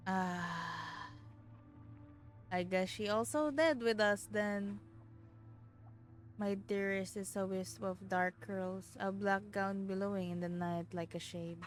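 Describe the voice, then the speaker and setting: A woman streamer, reading a visual novel aloud expressively and dramatically, with casual reactions. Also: mid-range voice. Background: background music.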